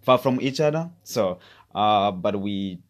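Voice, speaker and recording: A young Rwandan man with a fairly low voice talks as a podcast guest. He is hesitant and fills his pauses with many "uh"s, in relaxed conversational English spoken as a second language, Kinyarwanda being his first. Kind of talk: casual interview chat.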